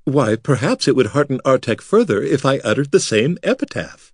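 A man voicing a fussy, excitable young nobleman who speaks in a flamboyant, theatrical way. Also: medium pitch, moderate pace, slightly rough, dry recording, studio quality, pitched above the performer's own voice. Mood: delighted. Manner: flamboyant, theatrical, easily flustered.

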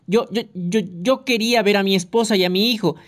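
A man's voice: high voice, steady tone, a little fast, voice pushed up, lightly acted. A reader voicing a frantic escaped convict, a man who stammers and repeats his words in an audiobook. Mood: furious.

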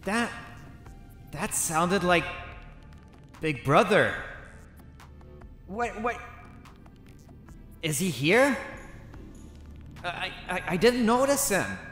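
A man voicing his character in a survival game roleplay, excitably thinking aloud to himself. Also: high voice, drawn-out words, pauses often, faint music behind.

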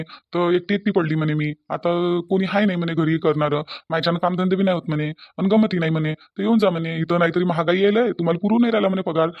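A man's voice: fairly high pitch, natural voice. A man playing an earnest, dutiful husband and son in a cartoon, coaxing and repeating himself in a Varhadi Marathi accent from Vidarbha. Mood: reporting. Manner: repetitive, reasoning, persuasive. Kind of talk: cartoon dialogue. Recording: dull, lo-fi sound.

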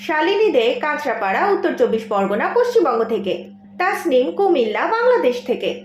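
A woman's voice: high-pitched, big pitch swings, brisk, a little hiss or hum. A woman announcer reading a scripted quiz announcement for viewers in a roomy voice-over.

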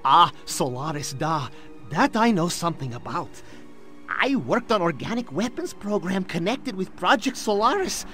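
An actor playing a nervous Soviet scientist in a game, speaking broken English with a Russian accent. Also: male voice, high voice, sing-song, normal speed, sharp tone, faint music behind, exaggerated voice. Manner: broken English, drops articles. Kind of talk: video game cutscene acting.

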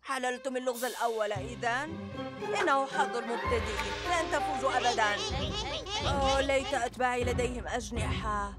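A woman's voice: on the high side, a little fast, natural voice. A woman voicing a boastful, scheming boy ninja villain in a cartoon dub, with a mocking, taunting manner. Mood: gloating. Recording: music over the voice.

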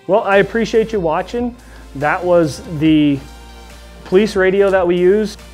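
A man's voice: high-pitched, measured pace, a little mellow, faint music behind. A middle-aged man, a police officer, giving an informal explanation to camera in an indoor meeting room.

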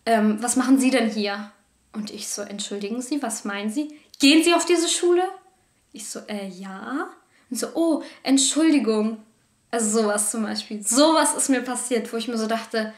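A young woman from Germany, telling personal stories to the camera from her sofa, candid and animated. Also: on the high side, normal speed, roomy sound. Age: young adult. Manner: candid, animated, gestures a lot. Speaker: vlogger. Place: living room, on a sofa.

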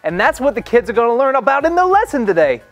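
A man's voice: very high voice, sing-song, quick pace, a bit bright, soft background music, exaggerated voice. A man playing a smug grown-up who explains a lesson and teases a stuck kid. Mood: explaining.

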